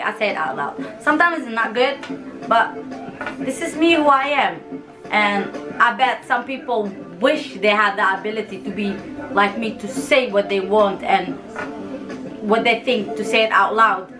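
Woman, 30s, from Yemen and Somalia, vlogger, frank, animated, home room, music underneath. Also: a little high. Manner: frank, animated, gestures with hands. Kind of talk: casual direct-to-camera chat. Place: small home room, seated in a hanging chair. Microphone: camera in front, mid distance. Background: music playing in the background.

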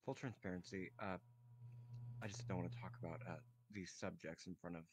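A man improvising in-character dialogue as a roleplay player, in a halting, hesitant way. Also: sits low, fairly even intonation, slight background noise.

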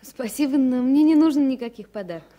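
Actress as sad, guarded flight attendant, mellow higher voice. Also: female voice, brisk, natural voice. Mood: polite, composed. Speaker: radio drama actor. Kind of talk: 1970s Soviet radio play acting. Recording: faintly noisy vintage radio recording.

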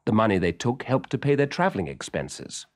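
A British man narrating a children's story in an expressive, scripted storytelling manner. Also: fairly low pitch, a little fast. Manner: expressive, clearly paced storytelling.

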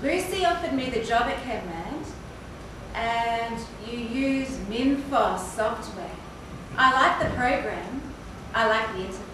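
A woman playing a nervous, friendly job seeker in a stage play, with careful, deliberate lines. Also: fairly high pitch, expressive. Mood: earnest, friendly. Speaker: stage actor. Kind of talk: live amateur stage play dialogue. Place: stage of a theatre with audience. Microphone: far camera. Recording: echoey theatre from far off, noisy.

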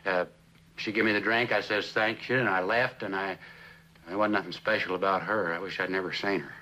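An actor playing a defensive working-class handyman giving blunt, ungrammatical, halting testimony in a television courtroom drama. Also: low voice, fairly even intonation, pushed and bright, poor audio. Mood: defensive, sullen. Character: defensive, plain-spoken working-class building handyman on trial.

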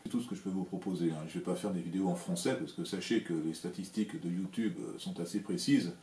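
A man in his sixties from France, talking casually to camera from his home workshop, explaining things in a measured, plain way with frequent hesitant fillers. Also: low voice, fairly even intonation, mellow, echoey room, a little hiss or hum. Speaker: electronics hobbyist, channel host. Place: home workshop with shelves of equipment, indoors. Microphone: camera framing at desk, seated.

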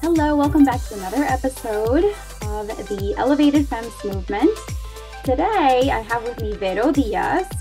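Chatty woman podcast host, bright voice. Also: on the high side. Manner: chatty, self-deprecating, many fillers. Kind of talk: casual podcast interview.

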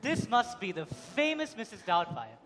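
A man playing a courteous, probing, wealthy gentleman suitor in a stage comedy. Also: high voice, huge pitch swings, moderate pace, slight background noise, full character voice. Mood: courteous, suspicious. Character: smooth, polite, wealthy gentleman suitor from London. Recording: echoey hall with music.

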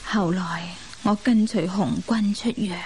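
A woman's voice: mid-range voice, unhurried, darkish tone, slight background noise, lightly acted, poor audio. A woman in a radio drama playing a reflective, respectful woman recalling her past. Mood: wistful, respectful. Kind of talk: radio drama narration in recollection. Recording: radio broadcast with music underneath.

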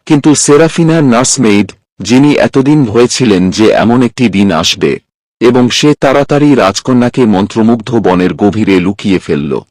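A man's voice: mid-range voice, animated, normal speed, darkish tone, dry recording. A man reading a scripted fairy tale as a storyteller, recorded up close as a voice-over. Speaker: storyteller, narrator.

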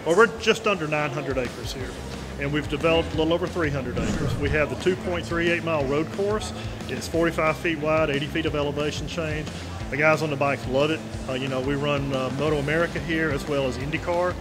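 Male, 60s, museum spokesman in interview, folksy delivery, fairly high voice over loud music. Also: a little fast. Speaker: museum representative. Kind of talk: informal on-camera interview, explaining. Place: large indoor museum hall.

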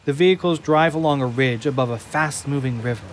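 A man narrating an audio drama from a script, in measured, descriptive storytelling. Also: medium pitch, slight background noise. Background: faint music.

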